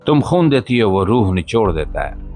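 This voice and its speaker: A man narrates a scripted spoken-word monologue in a solemn, emphatic, rhetorical manner, with short pauses between phrases. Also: on the low side, very animated. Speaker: podcast host and voiceover narrator. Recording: voice-over with music behind.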